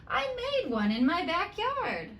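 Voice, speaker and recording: A woman's voice: very high-pitched, wildly swooping pitch, slow, fairly bright tone, reverberant, a little hiss or hum, voice pushed up, full character voice. A woman voicing an encouraging old grandmother in a storybook, emphatic and nostalgic about the past. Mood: nostalgic, proud. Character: encouraging old grandmother recalling olden days.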